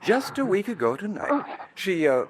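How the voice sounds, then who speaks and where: A silky scheming poisoner. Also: male voice, sits high, sing-song, moderate pace, slight background noise, low-quality recording. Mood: menacing. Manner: silky, menacing, hesitant fillers. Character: scheming, smooth-talking male poisoner, middle-aged. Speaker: villain, Roger. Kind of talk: 1940s radio drama acting. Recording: old 1940s radio broadcast recording.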